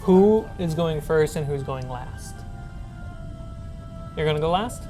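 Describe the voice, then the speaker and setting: Woman, low voice, game master, step-by-step tabletop narration, music underneath. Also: animated, slow pace, warm tone.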